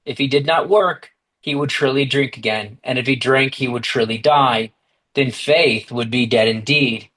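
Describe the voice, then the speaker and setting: American guy on a video call, steady earnest sharing at a recovery meeting, medium voice. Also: mid-range voice, normal speed. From United States. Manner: earnest, steady, with small restarts. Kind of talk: personal recovery talk.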